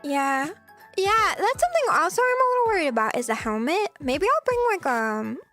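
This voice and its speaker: A young woman streamer chatting casually with viewers in a soft, giggly voice, her throat a little scratchy. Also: very high-pitched, very animated, normal speed, faint music behind. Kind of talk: casual chat.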